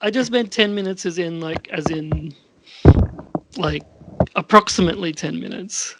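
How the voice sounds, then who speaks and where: A man's voice: sits high, normal speed, background noise. A man hosting a casual podcast as a scriptwriter, hesitant and self-deprecating. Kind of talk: casual chat.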